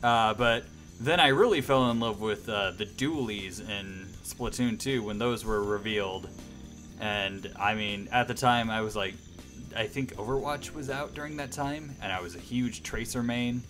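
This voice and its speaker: A man's voice: on the low side, a little slow, music in the background. A man hosting a radio-style livestream, chatting casually and enthusiastically to viewers in a rambling way.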